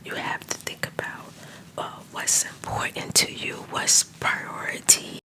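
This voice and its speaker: A woman's voice: a little slow, pushed and bright. Whispering woman, ASMR storytime. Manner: fully unvoiced whisper, rambling and chatty. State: getting over a cold. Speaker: ASMR channel host.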